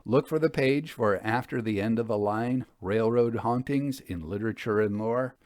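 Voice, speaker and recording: A man hosting and narrating a literary story podcast, with measured, deliberate phrasing. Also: medium pitch, darkish tone, no room sound, studio quality. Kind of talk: literary storytelling and scripted commentary.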